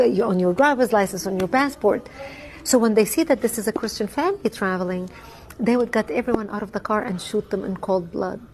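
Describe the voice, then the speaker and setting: A woman, giving a measured, earnest personal testimony with frequent ums. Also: mid-range voice. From Lebanon. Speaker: interviewee.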